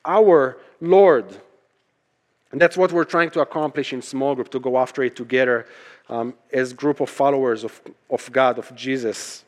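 A man's voice: mid-range voice, fairly even intonation, moderate pace. Male pastor, native Hebrew speaker, preaching emphatically. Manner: emphatic, repeats key phrases for weight. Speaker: pastor preaching to his church. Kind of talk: conversational sermon with rhetorical questions.

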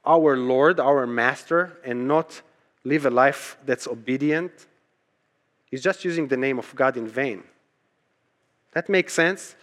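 A man who is a native Hebrew speaker, preaching as a pastor in a conversational sermon style, emphatic, repeating key phrases and posing rhetorical questions. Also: on the high side. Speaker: pastor preaching to his church.

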